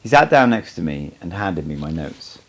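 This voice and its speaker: A man's voice: low voice, sing-song, moderate pace. A man reading a first-person story aloud as scripted audiobook narration. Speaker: amateur audiobook reader.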